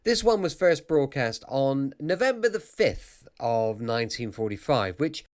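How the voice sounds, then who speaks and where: A British man introduces a late-night show as its host. His pitch rises and falls a great deal, and his patter is informal, chatty and reassuring. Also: big pitch swings, a little slow. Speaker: podcast host.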